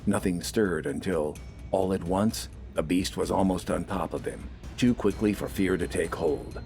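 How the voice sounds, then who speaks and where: A man's voice: deep voice, a little slow, a little mellow, soft background music. A man reading scripted, dramatic fiction as an audiobook narrator.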